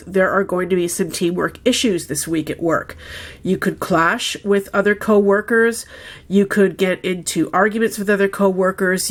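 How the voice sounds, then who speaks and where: A woman with a stuffed-up, nasal voice from a head cold, chatting casually through a weekly tarot reading and often checking in with "okay?". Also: fairly low pitch. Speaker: tarot reader.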